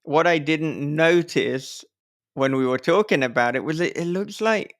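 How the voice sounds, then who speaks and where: A man's voice: a little high, a little slow. Chatty man hosting a casual podcast. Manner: chatty, rambling, chuckles as he talks. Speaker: podcast host and horror author.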